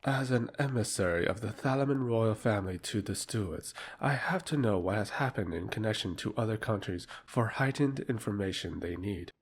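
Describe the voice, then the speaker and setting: A man playing a scheming, authoritative royal emissary in a fantasy audio drama, speaking in a formal, measured way. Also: on the low side, a little hiss or hum, natural voice. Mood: calculating, commanding.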